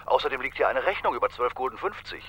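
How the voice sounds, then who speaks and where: An actor playing a dry, grudging police inspector in a German radio crime drama. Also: male voice, mid-range voice, very animated, fast, fairly bright tone. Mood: dry, needling.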